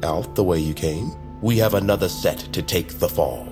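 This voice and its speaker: A man playing a scheming bird-folk master of a secret assassin order in a dramatic audio fiction, measured, conspiratorial and theatrical, with music under his voice. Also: deep voice, close to an everyday voice. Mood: grave, conspiratorial.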